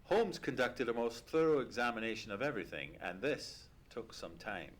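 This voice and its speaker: A man playing an earnest, easily astonished gentleman sidekick who narrates an old-time radio play in a formal storytelling manner. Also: medium pitch, lively intonation, moderate pace. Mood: measured storytelling. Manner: formal storytelling, exclaiming in surprise. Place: live theatre stage performance.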